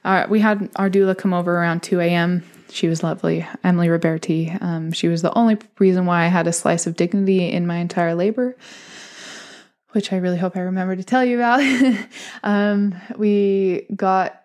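A woman's voice: fairly low pitch, steady tone, moderate pace, darkish tone. A young woman telling her own story in a candid, chatty way, laughing often and mimicking voices when she quotes. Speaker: podcast host telling her birth story. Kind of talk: casual personal storytelling.

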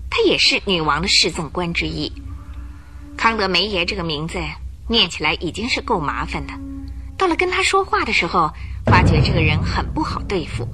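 A woman narrating a radio detective drama in a lively storytelling manner. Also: mid-range voice, a little hiss or hum. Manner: lively storytelling, rising for suspense.

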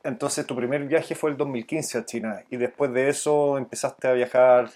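Guy doing a casual interview, normal mid pitch, asking the questions. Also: fairly even intonation, normal speed, a little mellow.

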